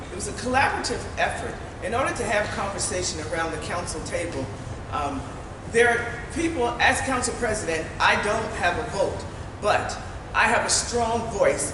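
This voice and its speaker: A woman running for mayor, giving an earnest, emphatic forum speech that repeats phrases for stress. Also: medium pitch. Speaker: mayoral candidate. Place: large meeting hall. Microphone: distant room mic. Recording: echoey hall from far off, noisy.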